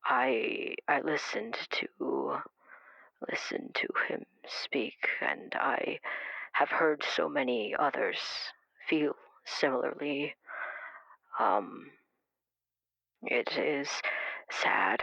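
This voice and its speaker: A woman as a very slow, creaky old woman. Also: low-pitched, big pitch swings, no echo, dull audio, full character voice. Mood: sorrowful, weary. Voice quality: creaky, breathy, often dropping to a whisper. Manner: slow, halting, wistful, gentle, long pauses. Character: very old, weary, haunted woman, children's librarian. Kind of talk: improvised audio drama, caller to a radio show.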